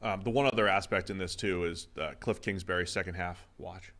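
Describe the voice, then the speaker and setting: Low-voiced man talking football. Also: steady tone, brisk, fairly bright tone. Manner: conversational, thinking aloud with restarts and "um". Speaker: co-host. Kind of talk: casual sports analysis chat.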